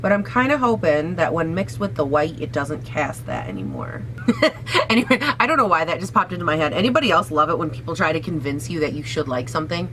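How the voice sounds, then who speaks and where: Raspy-voiced young woman with a cold. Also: low-pitched, animated, fast, a bit bright, slight background noise, rough recording. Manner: chatty, sassy, swears casually. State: hoarse from a cold. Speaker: beauty vlogger. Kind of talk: casual makeup try-on chat.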